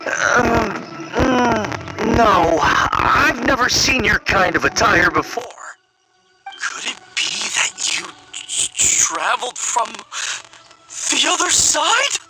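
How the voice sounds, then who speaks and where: A man's voice: very high voice, big pitch swings, very slow, over loud music, full character voice, rough recording. A man voicing a timid, easily frightened townsman in an anime-style video game, stammering and pleading. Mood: startled, wary. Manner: stammering, exclamatory, polite pleading.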